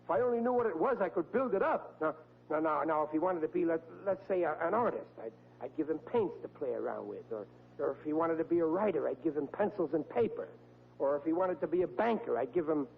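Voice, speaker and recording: A man's voice: on the high side, expressive, quick pace, creaky. An actor playing a blustering, exasperated middle-aged working-class American father, stammering and flustered, in a 1940s radio comedy. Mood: dejected, blustering. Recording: muffled old-time radio recording with hum.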